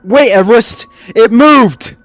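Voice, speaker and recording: Male reader doing a nervous, stammering big dog, pushed high, easily flustered. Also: takes it slowly, soft background music. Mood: panicked. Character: jittery anxious male Great Dane. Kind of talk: storybook character voice.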